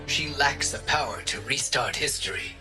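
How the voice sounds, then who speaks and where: An actor playing a noble, courteous warrior deity in a video game, speaking formally and calmly. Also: male voice, medium pitch, moderate pace, music in the background, lightly acted. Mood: calm, earnest. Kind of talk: video game cinematic voice acting.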